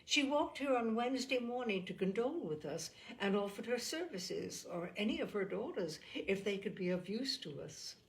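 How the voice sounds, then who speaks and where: A woman voicing a gentle, anxious, forgiving young sister, earnest and measured, in a storybook reading. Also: medium pitch, sing-song, creaky, rough recording. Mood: gentle, grateful.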